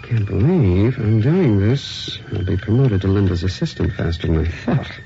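A man's voice: fairly low pitch, animated, moderate pace, creaky, dark tone. A man playing a sardonic, put-upon junior editorial employee in a radio drama. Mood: sarcastic. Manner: wry, sarcastic, hesitant on the phone. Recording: muffled lo-fi, faint background noise.

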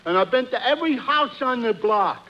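A man's voice: extremely high pitch, lively intonation, a little fast. An actor playing a dim-witted, earnest, hapless man with a dopey, hesitant way of talking, in 1940s radio comedy style. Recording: dull, noisy 1940s radio recording.

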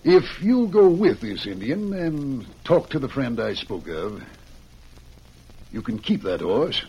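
An actor playing an earnest, firm but kind prison warden in the Old West, in a measured radio western style. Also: male voice, medium pitch, sing-song, warm tone, slightly rough, full character voice, low-quality recording. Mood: earnest, persuading. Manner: persuasive, measured, often addresses listener by name.

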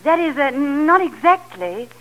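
High-voiced, coy, teasing wife. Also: female voice, sing-song, a little slow, fairly bright tone, full character voice, poor audio. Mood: hesitant, coy. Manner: coy, wry, light and unruffled. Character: playful, coy, loving wife, wryly teasing. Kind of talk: 1940s radio comedy acting.

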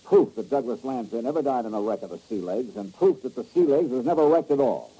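A man's voice: medium pitch, expressive, quick pace, warm tone, breathy edge. An actor playing a hard-boiled male insurance investigator, clipped and sardonic, in an old radio drama. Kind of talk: 1950s radio drama acting. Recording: muffled old radio broadcast.

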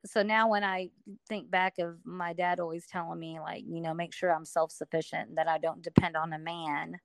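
Chatty middle-aged woman, smooth low voice. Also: little pitch movement, normal speed, no room sound. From Alabama. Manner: chatty, many fillers, frequent laughs. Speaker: guest. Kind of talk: casual interview storytelling.

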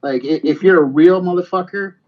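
A man with a fairly high voice on slightly muffled, lo-fi audio. He talks like a podcast host shooting the breeze, blunt and sweary, hammering points by repeating them in street slang. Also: a little fast. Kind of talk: casual, profane podcast chat.

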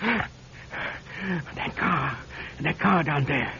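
An actor playing a fearful, paranoid old man in a radio drama, agitated, halting and repeating himself. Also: on the high side, gravelly, bright, forceful tone, slightly rough, exaggerated voice. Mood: paranoid muttering. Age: older. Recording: muffled radio drama recording, faint noise.

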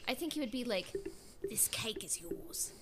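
A woman's voice: fairly high pitch, lively intonation, normal speed, whispered. A woman co-hosting a podcast, chatting casually in a playful, opinionated way. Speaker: podcast co-host. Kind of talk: casual chat.

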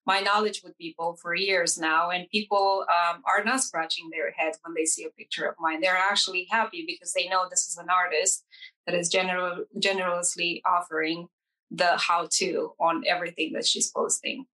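A woman's voice: on the low side, level tone, moderate pace, bright, forceful tone, rough recording. A woman who is a guest hairstylist on a podcast, speaking earnestly as she explains her work. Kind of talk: podcast interview.

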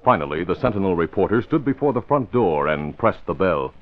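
A man's voice: sits low, sing-song, brisk. A man narrating and announcing in scripted 1940s radio style. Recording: thin, tinny old radio recording.

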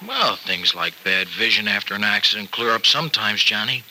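A man's voice: lowish voice, animated, normal speed, sharp tone, a little hiss or hum, rough recording. An actor in an old-time radio soap opera playing a folksy, generous American farmer who hesitates earnestly as he worries over an injured friend. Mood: reassuring. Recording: vintage radio drama recording.

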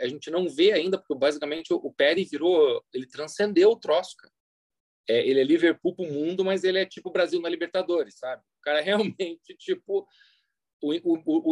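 A man's voice: on the high side, darkish tone, slightly rough, dry recording. Brazilian man chatting casually, dry close sound. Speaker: sports interpreter and guest. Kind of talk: casual, anecdotal interview chat.

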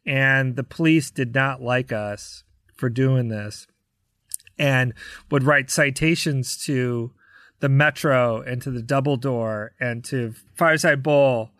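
American male, podcast guest, animated casual raconteur, medium pitch, very dry recording. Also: slow, no room sound. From United States, Chicago area. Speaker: podcast guest, touring rock drummer. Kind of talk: casual anecdote storytelling.

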